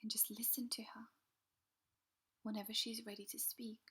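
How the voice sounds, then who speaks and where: A woman guiding a healing visualization in a soft, slow, soothing whisper. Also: fairly high pitch, little pitch movement, slightly rough. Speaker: meditation guide.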